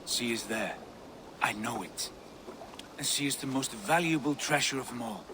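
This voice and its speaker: An actor playing a proud Viking leader in a video game, speaking in a measured, earnest, commanding way. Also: male voice, medium pitch, background noise, natural voice. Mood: solemn, pleading. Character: Sigurd, proud Viking leader, persuasive and insistent brother. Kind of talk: dramatic video game cutscene acting.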